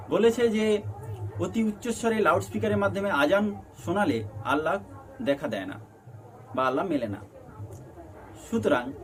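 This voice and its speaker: A man with a Bengali accent presenting a news explainer in halting, emphatic phrases. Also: high voice, poor audio. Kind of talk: scripted news explainer narration. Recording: noticeable background noise.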